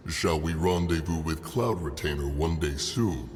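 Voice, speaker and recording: An actor playing a stately, dry-witted immortal sage in a video game, speaking formally. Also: male voice, rumbling low, unhurried, a little hiss or hum. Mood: dry, teasing. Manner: formal, dryly teasing. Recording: long hall reverb. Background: music.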